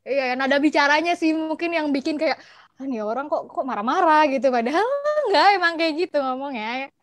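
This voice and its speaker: A young woman with an Indonesian accent chatting casually as an interview guest, expressive and giggly, mixing in English and German words. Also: high-pitched, a little fast, dry recording. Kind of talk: casual chat.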